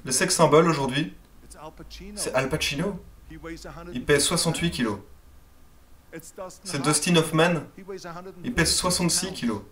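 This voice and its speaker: A man's voice: fairly high pitch, unhurried, pauses often, fairly bright tone. A man reading a first-person motivational speech as a dubbed voice-over, in an earnest and emphatic way. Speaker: voice-over translator.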